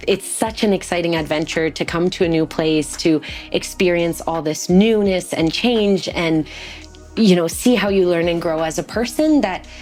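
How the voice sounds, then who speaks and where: A woman's voice: lowish voice, normal speed, a little mellow, faint music behind. A woman guest in an interview, talking in a reflective way and giving practical advice from her own experience as a teacher. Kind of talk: interview conversation.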